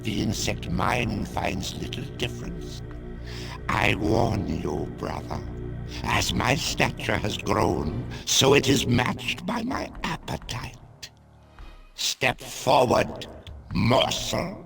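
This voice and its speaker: An actor playing a monstrous insectoid vampire villain who taunts slowly in gravelly, hissing whispers, heard over game music. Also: male voice, medium pitch, big pitch swings, exaggerated voice. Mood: taunting. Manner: slow, taunting drawl. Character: monstrous insectoid male vampire, sneering, hungry.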